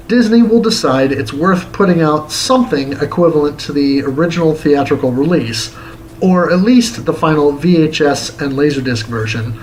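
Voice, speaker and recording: A man with a somewhat high voice narrates a scripted retro game review. He reads it aloud as the show's host, with a theatrical, tongue-in-cheek delivery. There is noise behind his voice. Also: poor audio.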